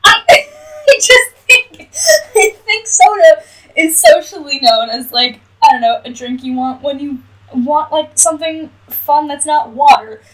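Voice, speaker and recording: A woman's voice: high-pitched, animated, a little slow, a bit bright, far from the mic, poor audio. A woman co-hosting a podcast with casual, joking banter, recorded slightly away from the mic. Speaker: podcast co-host.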